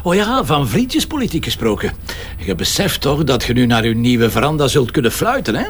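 An actor playing a blunt, cheeky police commissioner in a Flemish crime drama, speaking colloquially with a sardonic edge. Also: male voice, medium pitch, very animated, brisk, slight background noise, close to an everyday voice. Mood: mocking, assertive. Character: gruff, cheeky, mocking male police commissioner. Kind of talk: naturalistic Flemish radio crime drama acting.

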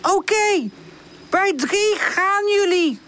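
Woman playing a little girl puppy, very high pitch, loud, excited, encouraging, storybook friend. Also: very slow, heavily acted. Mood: excited, calling out.

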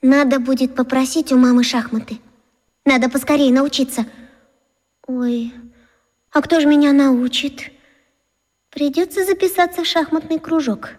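A woman plays a very high-pitched schoolgirl of about eleven in a children's radio drama. She is earnest and impulsive, fretting aloud to herself, and her voice hangs in a long reverb. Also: normal speed, pauses often, darkish tone, close to an everyday voice. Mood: fretful, planning. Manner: fretting, self-talking, impulsive. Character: earnest, anxious fifth-grade schoolgirl thinking out loud. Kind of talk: children's radio play acting.

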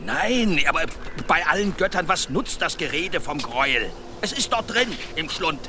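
An actor in a German radio play, playing a grieving, impatient village blacksmith bent on revenge. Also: male voice, fairly high pitch, lively intonation, normal speed, natural voice. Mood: impatient, agitated. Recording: noisy outdoor ambience behind voice.

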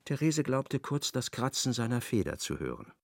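Gravelly male narrator, dry close sound. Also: mid-range voice, sing-song, normal speed, dry recording. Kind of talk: literary radio play narration.

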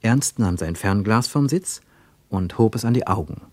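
A man narrating a literary radio play in a measured, deliberate storytelling way. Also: lowish voice, animated, a little mellow, no echo.

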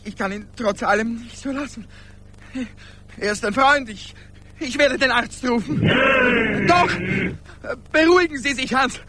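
A man's voice: extremely high pitch, sharp tone, a little hiss or hum, full character voice. An actor playing an anxious, bewildered, panicky everyman in a radio play, agitated and stammering. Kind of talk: 1980s German radio play acting.

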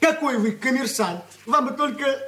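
An actor playing a brash, hard-drinking merchant's clerk, boastful, blunt and needling, in a Soviet radio play. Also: male voice, very high voice, a bit bright, full character voice.

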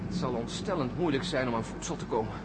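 An actor playing Peter, a volatile male astronaut who flips between feverish excitement and bitter sneering in a radio drama. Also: mid-range voice, fast, very noisy, lightly acted.

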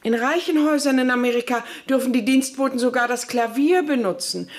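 Actress as high-voiced prim lady lecturer. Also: lightly acted. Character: prim bourgeois lady lecturer on household servants. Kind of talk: radio play acting, formal speech.

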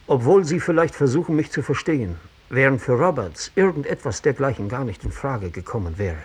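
An actor playing a sensitive, disgraced gentleman in a 1960s German radio play, confessing in measured, literary phrases with a husky voice. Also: medium pitch, expressive. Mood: resigned, earnest.

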